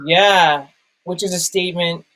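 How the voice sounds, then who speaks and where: Male film show host, high-pitched voice, conversational, halting, thinking aloud. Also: fairly even intonation, bright, forceful tone. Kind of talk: casual film discussion.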